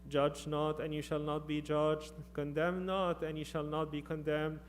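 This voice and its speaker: A man giving a formal, solemn liturgical reading of scripture in measured phrases. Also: on the high side, completely flat delivery, slight background noise. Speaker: scripture reader in church.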